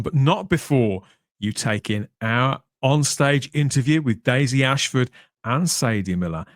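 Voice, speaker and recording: A man hosting a podcast in a casual but polished way, discursive and measured, often ending on tag questions. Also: medium pitch, no room sound, clean studio sound. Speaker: podcast host.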